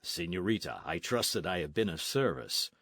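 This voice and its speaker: A dashing masked outlaw, proud and gallant, who issues commands with theatrical force, as a male narrator puts him on. The voice swings widely in pitch. It is heard dry and close, with no room sound. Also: big pitch swings, moderate pace, fairly bright tone, dry recording, exaggerated voice.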